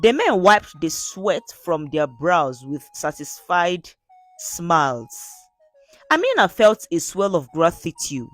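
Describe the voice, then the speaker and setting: A woman narrating a scripted folktale in an earnest, measured storybook manner. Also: fairly low pitch, big pitch swings, no echo, soft background music.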